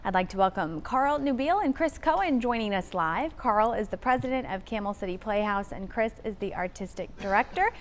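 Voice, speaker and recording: A woman's voice: mid-range voice, animated, brisk, hardly any pauses, a little hiss or hum. A woman hosting a live local television interview segment with polished broadcast delivery. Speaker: TV morning show host.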